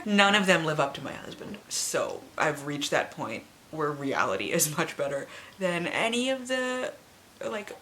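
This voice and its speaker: Low voiced woman late 20s doing a chatty book vlog, sweary and rambly, some background noise. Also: fairly bright tone. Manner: candid, casually sweary, self-deprecating, rambling asides. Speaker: book reviewer and vlogger.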